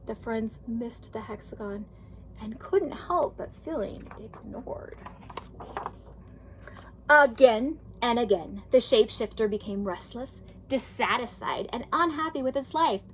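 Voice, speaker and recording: Woman, high voice, expressive storybook narration, deliberate emphatic phrasing, teacher reading aloud. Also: a little hiss or hum, low-quality recording.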